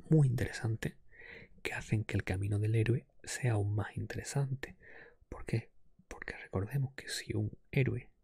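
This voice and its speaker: A man murmurs an off-the-cuff ASMR podcast in a low, very breathy voice full of gravelly fry. The sound is close and dry, right up at the microphone. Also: dry recording. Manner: soft whispering and murmuring. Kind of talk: whispered ASMR chat, unscripted.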